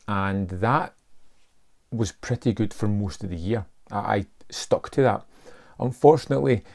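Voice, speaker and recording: A man's voice: fairly low pitch, vocal fry, dark tone. A man hosting a film channel, talking casually and reflectively to camera, with frequent fillers and restarts. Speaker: film review channel host.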